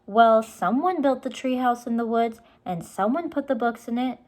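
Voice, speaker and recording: A woman voicing an eager, bold seven-year-old little sister in a storybook, excitable and teasing. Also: on the high side, moderate pace, pitched above the performer's own voice, natural voice. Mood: curious, insistent.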